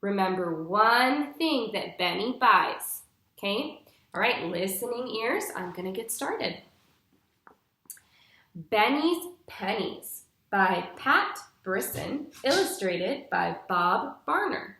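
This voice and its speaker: A young woman reads a storybook to children in a teacher's instructive way. Her pitch moves up and down a lot, and she takes her time over each phrase. The sound is echoey, recorded in a room a little way from the microphone. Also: big pitch swings, takes it slowly, gravelly, bright, forceful tone. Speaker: teacher reading aloud to pupils. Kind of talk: picture-book read-aloud for young children. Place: small living room, indoors. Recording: roomy indoor echo.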